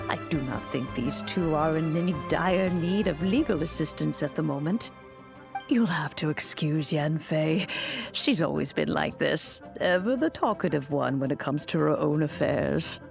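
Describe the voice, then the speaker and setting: A woman playing a stately, patient and wry elderly immortal granny in a video game, with measured, formal phrasing. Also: low-pitched, animated, gravelly. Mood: brisk. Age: older. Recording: music under the voice. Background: background music.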